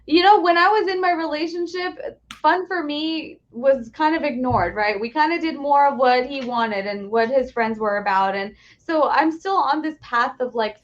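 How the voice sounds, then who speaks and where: A woman's voice: high-pitched, normal speed, dull audio. A woman working as an insurance agent, guest on a podcast, explaining things plainly and reassuringly in casual conversation. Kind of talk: casual interview chat.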